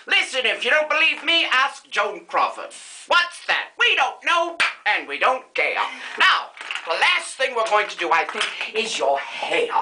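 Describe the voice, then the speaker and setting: An aging screen diva, vain, flighty and bawdy, who rambles through a comedy makeup lesson, as a performer puts her on. Her voice is piercingly bright and swoops wildly up and down as she drops names in a grand, theatrical manner. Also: mid-range voice, echoey room, slight background noise, heavily acted. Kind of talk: comedy sketch, makeup tutorial parody.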